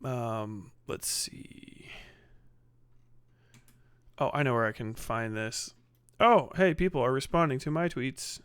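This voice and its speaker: A man's voice: medium pitch, takes it slowly, long pauses, a bit bright, smooth, dry recording. A man hosting a livestream, ranting casually with sarcasm and profanity, laughing at his own jokes into a close mic. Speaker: livestream host.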